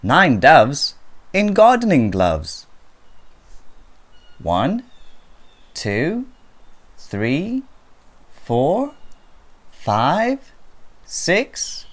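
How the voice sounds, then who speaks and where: A narrator reading a children's counting picture book aloud in a deliberate way, with swooping intonation. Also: mid-range voice, huge pitch swings.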